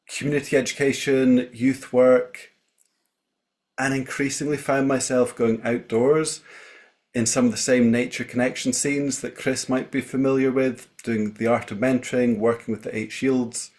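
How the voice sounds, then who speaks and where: Scottish man, middle-pitched, thoughtful. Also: little pitch movement, a little slow. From Scottish Highlands. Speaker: storyteller, guest speaker. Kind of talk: informal spoken introduction on a group video call. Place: video call.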